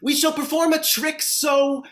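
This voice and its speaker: An actor playing a showy but befuddled male stage magician in his seventies, halting and stammering, at a drama table read. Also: high voice, level tone, a bit bright.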